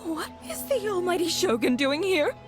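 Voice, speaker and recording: Very high-pitched awestruck townswoman. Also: very animated, moderate pace. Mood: shocked. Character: startled, awestruck female townsperson. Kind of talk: video game voice acting. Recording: music behind.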